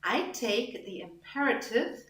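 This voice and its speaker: Slow, deliberate woman teaching, roomy sound. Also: on the high side, animated, fairly bright tone. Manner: slow and deliberate, repeats and spells out phrases. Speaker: language teacher. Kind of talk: explaining grammar with examples. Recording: roomy echo.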